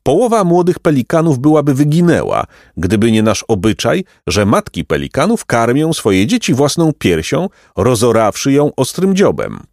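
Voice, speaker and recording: A man voicing a vain, pompous, aristocratic pelican with a shrill squawking voice for a storybook. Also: huge pitch swings, normal speed, hardly any pauses, darkish tone, no room sound, studio quality. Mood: pompous lament. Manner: pompous, haughty, florid exclamations. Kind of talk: storybook character voice.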